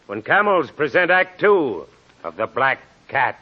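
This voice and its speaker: A man's voice: mid-range voice, very animated, unhurried. A man announcing a drama programme in formal 1940s radio style. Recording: muffled old radio, faint noise, crowd.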